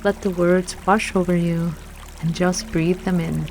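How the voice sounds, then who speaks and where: A woman leading a scripted guided meditation with affirmations in a gentle, soothing manner, leaving long pauses between phrases. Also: on the low side, steady tone, slight background noise. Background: music and trickling water.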